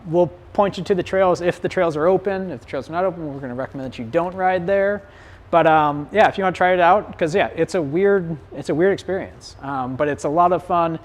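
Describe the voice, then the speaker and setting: A man teaching a community bike clinic in a loose, conversational way. His voice is getting hoarse. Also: fairly high pitch. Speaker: bike shop clinic instructor. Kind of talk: live-streamed community clinic talk.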